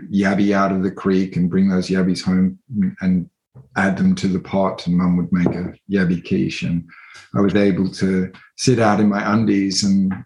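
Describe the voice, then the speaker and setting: An Australian man in his thirties speaks as an interview guest, thoughtful and unhurried, pausing often with fillers and restarts. His voice sits fairly low. The room around him is echoey and roomy. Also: creaky, darkish tone. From country New South Wales, Australia. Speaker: interview guest, artist and researcher. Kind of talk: reflective conversational interview.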